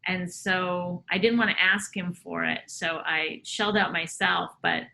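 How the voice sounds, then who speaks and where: Comedian lady rambling thru stories, bright sharp voice, lotta "like"s. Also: lowish voice, steady tone, unhurried, vocal fry, poor audio. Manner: rambling, loose storytelling with many fillers. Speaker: comedian guest. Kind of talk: casual interview chat.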